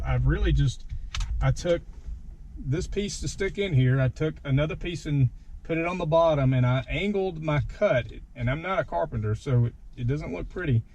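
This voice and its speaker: Higher-voiced man giving a casual walkthrough. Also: darkish tone. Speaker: vlogger demonstrating his gear. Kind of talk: casual hands-on video walkthrough. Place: inside a pickup truck. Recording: clear background noise in a vehicle.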